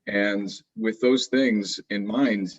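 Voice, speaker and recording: A man's voice: lowish voice, fairly even intonation, slow pace, muffled recording. A young man speaking casually and reflectively as a panelist, hesitantly working his way toward his point. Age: young adult.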